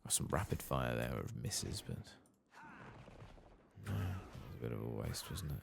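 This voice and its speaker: Very deep, gravelly soft-spoken British man. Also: big pitch swings, moderate pace, noisy background. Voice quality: soft, hushed, at times whispered. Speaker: ASMR game streamer. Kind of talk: soft-spoken ASMR game commentary, casual.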